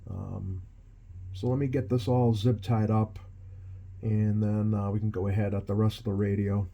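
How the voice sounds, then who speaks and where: A man giving casual, halting hands-on repair commentary, thinking aloud as he works at a bench. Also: fairly low pitch, level tone, vocal fry, warm tone, dull audio. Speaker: radio repair technician, video host. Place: small indoor workshop at an electronics bench.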